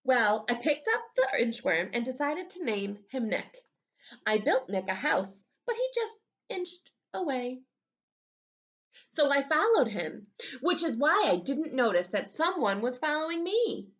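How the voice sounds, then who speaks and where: A woman's voice: high voice, measured pace, highs cut off, pitched above the performer's own voice. A woman voicing an earnest young schoolboy telling his own story expressively, in a storybook read-aloud for children. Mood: excited. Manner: expressive, dramatic.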